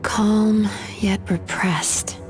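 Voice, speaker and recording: A woman playing a composed, gentle, protective young investigator in an anime-style video game, speaking calmly and earnestly with a soft voice. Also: mid-range voice. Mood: pensive. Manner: calm, deliberate, earnest. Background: game music.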